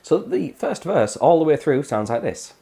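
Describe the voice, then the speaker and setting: Guy a bit hoarse from a cold, walking you through guitar chords, guitar playing behind him. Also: fairly high pitch, normal speed. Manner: relaxed, step-by-step explaining. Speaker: guitar teacher. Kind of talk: casual guitar tutorial.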